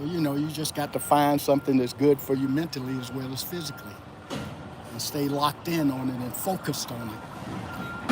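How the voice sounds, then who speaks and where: A man from Florida hosting a casual motivational livestream, talking loosely and greeting chat viewers by name. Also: mid-range voice. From Florida, USA. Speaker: livestream host. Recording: loud traffic noise outdoors. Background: loud traffic noise.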